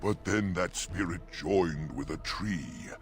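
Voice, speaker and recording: An actor playing an ancient, courteous talking oak in a fantasy game, speaking archaic rhymed verse in a grandiose, gravelly voice. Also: male voice, sits low, takes it slowly. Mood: wistful, solemn.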